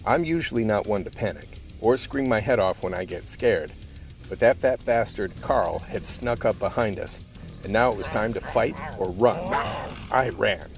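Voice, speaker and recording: An actor playing a weary, blunt, hard-boiled cop narrating a gritty ordeal in a scripted radio play. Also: male voice, on the low side, normal speed, close to an everyday voice. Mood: grim. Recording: loud music under the voice.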